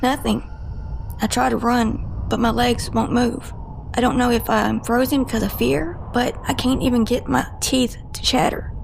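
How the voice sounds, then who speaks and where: A woman playing a haunted, guarded cryptid agent in an audio drama, telling her story with halting emotion and broken-off sentences. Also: on the high side, steady tone, gravelly, background noise. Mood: anguished, dread.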